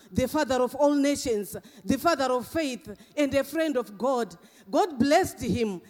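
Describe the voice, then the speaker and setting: A middle-aged man from Zimbabwe with a Zimbabwean accent mixed with English and Australian tones, preaching an emphatic sermon in a church and breaking often into hallelujahs. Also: very high voice. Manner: emphatic, punctuated with frequent hallelujahs. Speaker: guest preacher. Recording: long church reverb.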